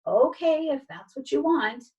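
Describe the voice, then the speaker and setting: A woman's voice: high voice, expressive, unhurried, a little mellow, highs cut off, voice pushed up. A woman voicing a good-natured male dog in a storybook, naive, bewildered and easily flustered. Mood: obliging.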